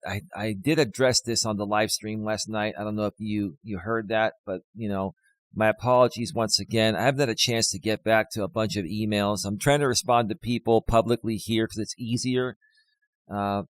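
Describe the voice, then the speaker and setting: A man hosting his own live stream as a life coach, talking casually to viewers with a slightly hoarse voice and lots of fillers. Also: lowish voice, no room sound. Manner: rambling, many fillers, talks straight to viewers.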